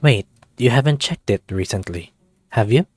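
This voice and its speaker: A man's voice: mid-range voice, lively intonation, moderate pace, darkish tone, slightly rough, no room sound. A man voicing a sly, self-assured male silver fox mentor in a visual novel, coaxing and matter-of-fact. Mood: casual, pushy.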